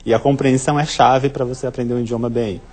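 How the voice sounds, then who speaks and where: Male professor guest who talks very fast and explains eagerly. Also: medium pitch, little pitch movement, darkish tone. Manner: explanatory, rapid, many fillers. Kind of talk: studio interview.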